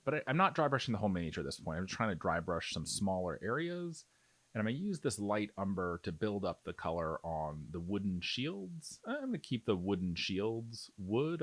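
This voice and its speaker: A man with a fairly low voice narrates a step-by-step tutorial in an informal, conversational manner. His intonation is very lively, moving freely up and down as he explains and reassures. The sound is dry, with very little room in it. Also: moderate pace, no echo. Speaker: miniature painting tutorial host.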